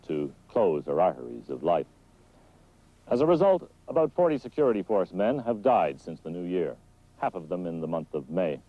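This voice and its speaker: A man with a very deep voice delivers a scripted news report, his intonation rising and falling in lively sweeps. The sound is dull and muffled, like old 1970s newsreel film. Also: dry recording.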